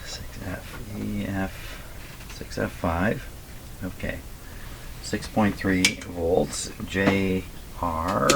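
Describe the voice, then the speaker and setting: Very low, slightly hoarse, unhurried man. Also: steady tone. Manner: muttering to himself, reading out settings slowly and deliberately. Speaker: radio repairer and video host. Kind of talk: casual running commentary while working. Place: radio repair workshop at a bench. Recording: clearly noisy workshop recording with clicks.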